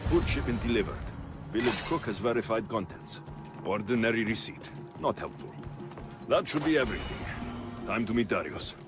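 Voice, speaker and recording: Man playing a wry, lively-voiced mercenary. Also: on the low side, normal speed, loud music behind the voice, close to an everyday voice. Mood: focused. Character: wry, pragmatic young male Greek mercenary. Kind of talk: video game cutscene acting.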